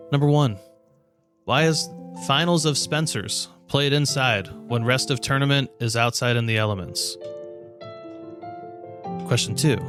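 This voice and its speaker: A man co-hosting a podcast, talking sports in a casual, laid-back, slangy way. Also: medium pitch. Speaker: podcast co-host.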